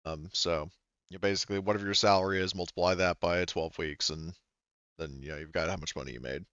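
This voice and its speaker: A man's voice: sits low, steady tone, fast talker, a bit bright, clean tone, no echo, muffled recording. A man running a tabletop game on a voice call, rambling and full of fillers and restarts. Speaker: game master.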